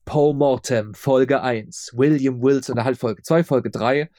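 A man's voice: medium pitch, takes it slowly, warm tone, a little hiss or hum. A man speaking as a guest in a casual interview, rambling and often repeating his words as he explains his audio drama work.

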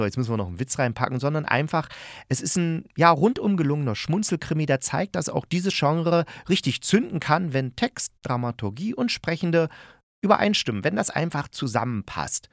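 A man's voice: mid-range voice, fairly bright tone, dry recording, studio quality. A man hosting a review show, speaking casually and chattily to listeners, thinking aloud and correcting himself as he goes. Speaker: host of an audio drama review show.

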